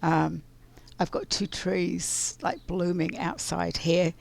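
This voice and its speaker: Woman host, scratchy and very gravelly, slow and reflective, for a casual card reading. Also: fairly low pitch, steady tone. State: scratchy voice from pollen. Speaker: spiritual card reader.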